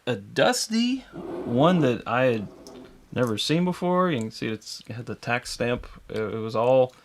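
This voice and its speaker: Lively, animated man chatting casually. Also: medium pitch, a little slow, a little hiss or hum. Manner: easygoing, animated, laughs often. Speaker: co-host. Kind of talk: casual live chat.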